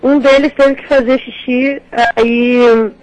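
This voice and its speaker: A woman with a Capixaba Brazilian accent answers a radio interviewer, her voice bright and high. She talks casually, stumbling over restarts and breaking into small giggles as she goes. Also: level tone, takes it slowly, rough recording. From Rio de Janeiro. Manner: chatty, hesitant restarts, frequent giggles. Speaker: magazine editor, guest.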